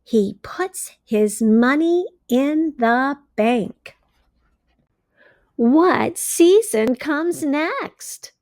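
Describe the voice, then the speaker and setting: A woman reading a picture book aloud to young children, deliberately and simply, pausing to put questions to her listeners. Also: sits high, animated, darkish tone, dry recording, clean studio sound. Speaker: read-aloud storyteller for early readers.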